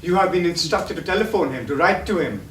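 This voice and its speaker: A man's voice: a little high, expressive, fast talker, a bit bright, slight background noise. An actor playing a bitter young man estranged from his rich family in a stage play, delivering his lines in an impassioned, declamatory way. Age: young adult. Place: theatre stage. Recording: echoey stage from far off, faint music.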